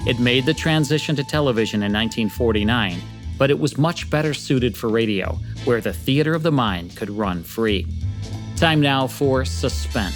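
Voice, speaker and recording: A man with an American accent hosting a podcast, reading polished scripted narration. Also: mid-range voice. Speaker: podcast host. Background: music.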